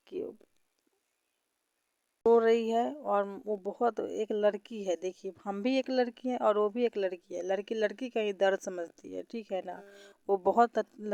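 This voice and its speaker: Lady calling in, medium pitch, earnest n pleading, dry phone recording, repeats herself. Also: a little mellow, no room sound. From Bihar, India. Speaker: caller, fan. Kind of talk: informal phone conversation.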